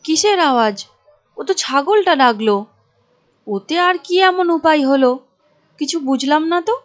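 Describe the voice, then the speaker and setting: A woman's voice: high-pitched, expressive, normal speed. A woman voicing a poor village mother in debt, plaintive and deferential, in a cartoon. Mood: puzzled. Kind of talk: Bengali cartoon voice acting.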